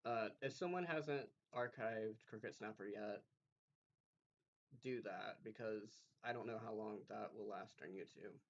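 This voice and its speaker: A man's voice: fairly low pitch, unhurried, lo-fi sound. A man streaming, talking casually as if to a chat audience.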